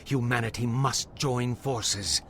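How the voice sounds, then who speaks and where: An actor playing a mysterious, solemn prophet in a fantasy game, speaking with foreboding authority in an oratorical, theatrical way. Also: male voice, mid-range voice, takes it slowly, background noise, natural voice. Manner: portentous, oratorical. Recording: game music behind the voice.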